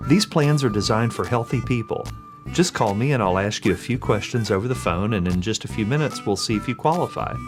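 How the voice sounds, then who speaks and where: A man reading scripted ad dialogue as an insurance pitchman, plain and straightforward. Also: animated, a little fast, music in the background.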